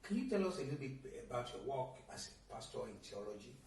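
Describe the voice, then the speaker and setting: A man's voice: mid-range voice, sing-song, fast, creaky, a little mellow. A man from Nigeria hosting a TV interview, asking rambling, hesitant questions full of restarts. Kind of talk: conversational interview. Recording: echoey room, mic at a distance, faint noise.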